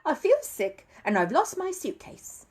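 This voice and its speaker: A polite young bear played by a woman reading a storybook speaks in a raised, lively voice. He is earnest and matter-of-fact, and quietly firm. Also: high-pitched, a little slow, voice pushed up. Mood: upset.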